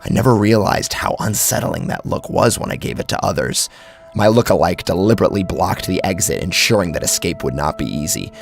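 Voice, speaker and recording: Casting: male horror narrator, low gravelly register, theatrical and suspenseful, swinging widely in pitch over a soft music bed. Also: animated, fairly quick. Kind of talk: dramatic first-person horror story narration.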